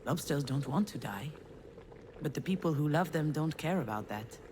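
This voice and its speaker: An actress playing a failed screenwriter, a cynical, melancholic loner, in a measured, confiding, wry game monologue. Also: female voice, sits low, lively intonation, background noise. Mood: grim, detached. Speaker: narrator protagonist of a visual novel. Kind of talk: introspective scripted monologue.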